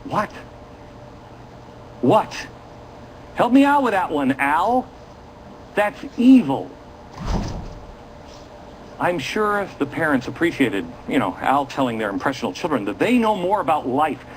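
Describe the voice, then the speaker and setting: A man's voice: a little high, sing-song, measured pace, pauses often, a little hiss or hum, rough recording. A man hosting a political commentary show, talking to viewers in a dramatic, indignant and sarcastic manner.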